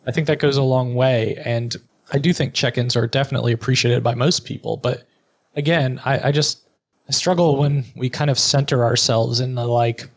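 A man's voice: medium pitch, steady tone, moderate pace. A middle-aged man speaking frankly and bluntly in a conversational interview, now and then swearing. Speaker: podcast guest, therapist and entrepreneur.

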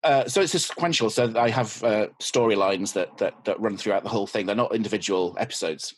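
A man's voice: fairly low pitch, brisk, no room sound. A man with an English accent chatting casually as a podcast guest, hesitant and often restarting his thoughts. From England. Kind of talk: casual interview chat.

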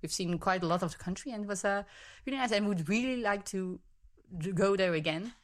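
A woman in her thirties from Belgium, a native Dutch speaker with a Belgian Dutch accent, chatting as a guest, friendly and laughing often, with halting restarts. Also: medium pitch. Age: 30s. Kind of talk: casual interview chat.

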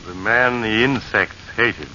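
An actor playing a genially sinister storyteller, confiding and sardonic, hosting a 1940s radio mystery on an old recording. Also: male voice, on the low side, takes it slowly, pushed and bright, highs cut off, a little hiss or hum, full character voice. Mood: sly, macabre. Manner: confiding, sardonic, mock-sympathetic. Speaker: host and narrator.

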